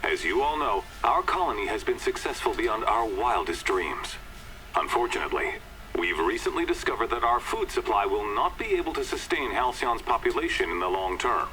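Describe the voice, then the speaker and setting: A man's voice: on the low side, lively intonation, moderate pace, bright, forceful tone, faint music behind, low-quality recording. An actor playing a pompous, short-tempered corporate chairman in a video game, with a polished public-speaking manner. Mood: falsely reassuring. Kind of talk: video game scripted address.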